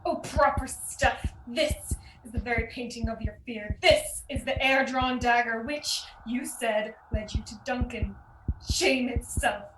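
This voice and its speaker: A distant, noisy recording of a scornful queen in Shakespearean radio drama, acted by a young woman. The female voice is high and theatrical, with a commanding air. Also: measured pace, natural voice. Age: young adult. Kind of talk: Shakespearean radio play acting. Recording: distant, echoey mic with clear noise.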